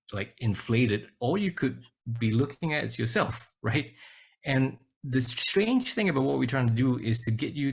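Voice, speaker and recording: Husky gravelly man from Singapore. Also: mid-range voice. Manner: hesitant, repeats words, thinks aloud. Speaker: co-author and webinar presenter. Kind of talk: casual webinar talk. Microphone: video call.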